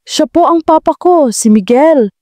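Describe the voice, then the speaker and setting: A woman narrator doing a respectful young man who pleads in a soft, earnest voice. His delivery is gentle and mellow. The recording is dry and close. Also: high voice, animated, a little slow, no room sound, voice pushed up. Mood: pleading.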